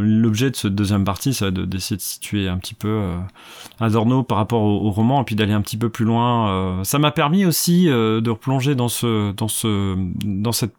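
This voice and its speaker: A man's voice: on the low side, a little fast, no room sound. A young man hosting a solo podcast into a close desk mic, thinking aloud unscripted with many restarts and fillers. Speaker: podcast host, doctoral student.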